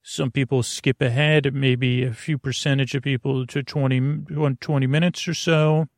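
A man with a creaky voice hosts a sleep podcast, rambling soothingly in hushed golf-commentator tones, haltingly meandering and over-explaining. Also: medium pitch, level tone, no room sound, clean studio sound. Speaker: host of a bedtime sleep podcast.

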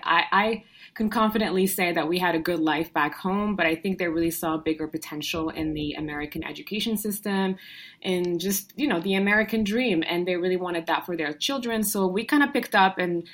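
A young adult woman from Morocco, a guest telling her story in an upbeat, chatty way with frequent fillers and restarts. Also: fairly low pitch. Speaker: guest entrepreneur. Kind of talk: casual conversational storytelling.

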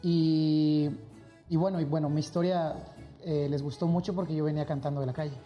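A man's voice: fairly high pitch, steady tone, a little fast, highs cut off, faint music behind. A Mexican man with a Mexican accent, a guest singer chatting upbeat in an interview and repeating phrases for emphasis. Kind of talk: casual interview chat.

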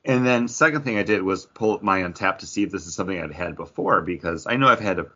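A man with a fairly low but bright, forceful voice co-hosts a podcast. He talks casually, as if reviewing a drink among friends. The recording is slightly dull and lo-fi. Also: fast talker. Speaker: podcast co-host. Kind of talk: casual chat, craft beer review. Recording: dull lo-fi audio.

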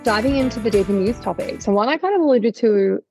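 A woman's voice: a little high, lively intonation, fast talker, darkish tone, soft background music. A woman co-hosting a podcast, discussing the news in a reflective, conversational way. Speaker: podcast co-host.

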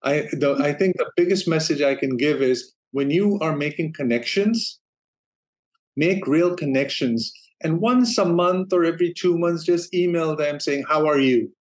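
Man, middle-aged, from Denmark, interview guest, relaxed storytelling, chuckles often. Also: on the high side, moderate pace. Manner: relaxed, laughs easily, repeats words while thinking. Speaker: guest, streaming operations manager.

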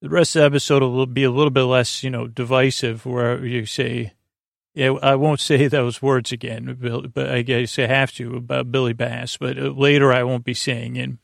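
A man hosting a bedtime podcast in a meandering, hesitant monologue that drifts off topic, with a gravelly, creaky voice. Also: mid-range voice, level tone, moderate pace, dry recording, clean studio sound. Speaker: podcast host.

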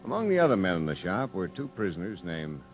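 A blunt American prison captain, skeptical yet fair. His low voice swings widely in pitch with weary authority, on a muffled vintage radio drama recording. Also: sing-song, quick pace, faint music behind. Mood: skeptical, measured. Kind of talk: 1950s radio drama acting.

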